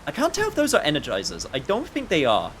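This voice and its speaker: A man's voice: a little high, wildly swooping pitch, fast, a bit bright, a little hiss or hum. A man livestreaming a video game with casual chat and wry, joking, sometimes sweary commentary.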